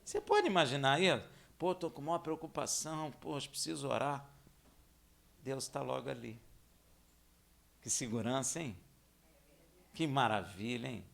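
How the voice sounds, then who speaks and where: A man from Minas Gerais with a Brazilian accent, preaching and teaching scripture in a conversational way, with rhetorical questions, dramatic emphasis and hushed asides. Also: mid-range voice, a little slow, vocal fry, long pauses. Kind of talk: expository sermon with scripture reading.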